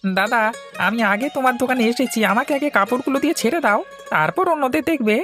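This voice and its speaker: A woman's voice: a little high, animated, fast, fairly bright tone. A woman voicing a pushy, insistent village shop customer in a cartoon. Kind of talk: Bengali cartoon voice acting. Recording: music behind the voice.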